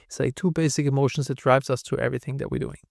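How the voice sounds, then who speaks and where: A man's voice: mid-range voice, fairly even intonation, rapid-fire, gravelly, a little mellow, dry recording, clean studio sound. A man with a German accent, a podcast guest telling candid, self-deprecating stories. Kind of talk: casual interview talk.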